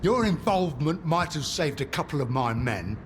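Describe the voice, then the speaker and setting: A man's voice: fairly high pitch, animated, moderate pace, a little hiss or hum, lightly acted. An actor playing a stern, threatening male camp commander in a video game, blunt, clipped and authoritative. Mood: stern warning. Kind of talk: video game cutscene acting.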